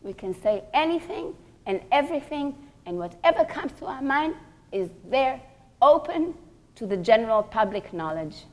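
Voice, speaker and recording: A woman's voice: high voice, sing-song, normal speed. A woman giving a religious lecture, teaching emphatically, repeating key phrases and posing rhetorical questions.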